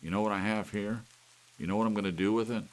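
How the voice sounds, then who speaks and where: Man acting a bitter, menacing husband, pitched up. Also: fast talker, close to an everyday voice. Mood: menacing, taunting. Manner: demanding, taunting, emphatic. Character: bitter, menacing husband talking angrily to his clock. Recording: steady rain and hiss behind.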